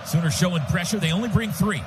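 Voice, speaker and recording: High-pitched American football announcer over heavy noise. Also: male voice, fast talker. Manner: clipped, urgent present-tense phrases. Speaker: radio play-by-play announcer. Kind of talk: live American football radio commentary. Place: stadium radio booth.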